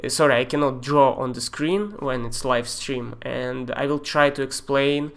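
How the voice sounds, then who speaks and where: A man with a Russian accent walks viewers through software on a live stream. He talks in a halting way, with fillers and loose non-native grammar, and his pitch sits in an ordinary middle range. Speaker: software tutor. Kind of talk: live-streamed screen tutorial.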